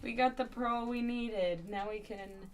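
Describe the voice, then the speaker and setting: A woman's voice: on the high side, unhurried, soft background music, poor audio. A woman giving casual, informal live commentary as she plays a video game, reacting aloud as she goes. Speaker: game streamer. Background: video game sounds.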